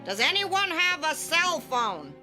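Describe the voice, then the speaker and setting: Sardonic schoolteacher with swooping, highish voice. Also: female voice, big pitch swings, slow pace, full character voice. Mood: weary, exasperated. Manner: dry, mock-polite, theatrical delivery. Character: sardonic, weary female schoolteacher, theatrically exasperated. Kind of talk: animated cartoon acting. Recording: music behind the voice.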